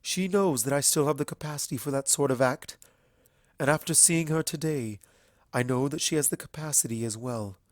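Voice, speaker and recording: A man voicing a kind, earnest female alicorn pony princess in a storybook reading, speaking in a solemn, measured way. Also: mid-range voice, no room sound, studio quality, pitched above the performer's own voice, lightly acted. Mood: grave, trusting. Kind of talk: fan fiction storybook reading.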